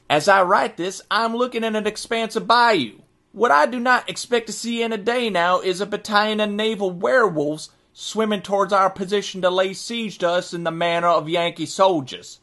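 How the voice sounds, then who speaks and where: A man plays an articulate army sergeant of the 1870s narrating his journal for an audio drama. The voice sits fairly high for a man, with formal, precise wording and an exasperated, scornful edge. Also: fairly bright tone.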